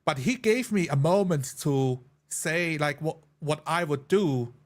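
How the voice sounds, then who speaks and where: A man who plays tabletop games, discussing a session casually in a measured, explanatory way with pauses between phrases. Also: fairly high pitch. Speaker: tabletop player.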